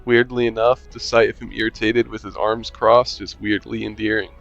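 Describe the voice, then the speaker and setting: Wry low-voiced man reading a game aloud. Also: normal speed, creaky. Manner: relaxed, wry, mutters to himself while playing. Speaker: gamer reading a visual novel aloud. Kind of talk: reading game text aloud as narration and player lines. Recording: lo-fi mic with faint music.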